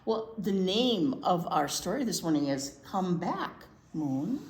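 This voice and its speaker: A woman reading a picture book to young children in an expressive, chatty way, often asking them questions. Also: fairly low pitch, slow pace. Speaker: children's library teacher reading aloud. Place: indoor room at a library. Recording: roomy echo, noticeable background noise.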